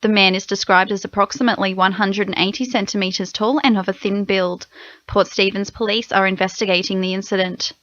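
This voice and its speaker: A woman's voice: medium pitch, fairly even intonation, a little fast, fairly bright tone, no echo. A woman with an Australian accent reading a scripted radio news bulletin as the newsreader. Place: dry radio studio. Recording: slightly dull, lo-fi radio recording.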